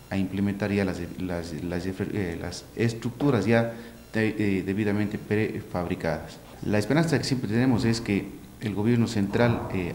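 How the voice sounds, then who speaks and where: A man in his thirties with an Ecuadorian accent, giving a formal, somewhat halting statement as a mayor. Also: low voice, darkish tone. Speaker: mayor being interviewed. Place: small office indoors. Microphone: handheld press mic on desk. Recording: echoey office, faint background noise.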